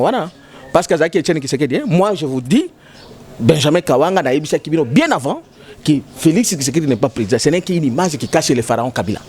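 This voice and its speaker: A man's voice: fairly high pitch, a little mellow. A man in a heated political debate, talking emphatically and repeating himself, mixing French with Lingala. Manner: emphatic, repetitive, switching between French and Lingala. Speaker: political commentator.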